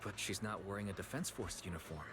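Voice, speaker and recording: A man playing a calm, perceptive young protagonist in a video game, observant and lightly teasing. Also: fairly low pitch, fast talker, music in the background, natural voice.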